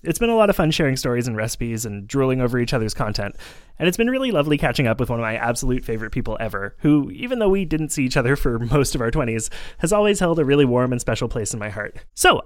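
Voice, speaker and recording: Podcast host, man, quick and chatty, close dry studio mic. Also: medium pitch, big pitch swings, dry recording. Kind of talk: casual chat. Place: home studio.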